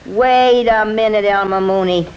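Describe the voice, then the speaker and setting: An actress playing a plain-spoken, exasperated but warm-hearted American small-town woman in an old-time radio comedy. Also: medium pitch, measured pace, clear, resonant tone, lightly acted. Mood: indignant, exasperated. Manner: plain-spoken, folksy, dramatic. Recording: dull vintage radio recording.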